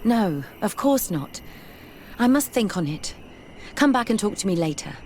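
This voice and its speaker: A woman's voice: medium pitch, big pitch swings, unhurried, darkish tone, slight background noise. A woman acting a curious, determined, skeptical scholar in a video game, speaking thoughtfully and articulately.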